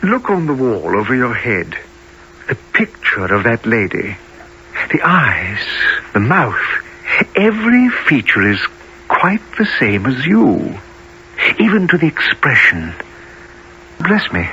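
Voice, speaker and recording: A kindly gentleman benefactor in a radio play. He talks in a soothing, musing way, on a dull lo-fi recording with faint noise. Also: medium pitch, huge pitch swings, slow, long pauses, full character voice. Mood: gentle, wondering. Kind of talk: radio drama acting.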